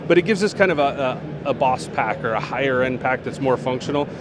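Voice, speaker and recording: A man speaks in a mid-range voice, relaxed and chatty, often pausing on "um" and restarting his sentences. He is answering questions as a guest at a busy trade show, and noise from the floor carries clearly behind him. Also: mid-range voice. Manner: relaxed, frequent fillers and restarts. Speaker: product manager, interview guest. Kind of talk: casual trade-show interview.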